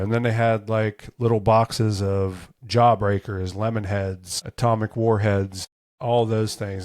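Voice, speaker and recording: A man in his fifties from the United States talks through his memories alone, loose and casual, often stopping to correct himself mid-sentence. His voice sits on the low side, and the recording is dry and close with no room sound. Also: no room sound. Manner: rambling, casual, with frequent self-corrections. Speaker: show host. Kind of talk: solo reminiscing monologue.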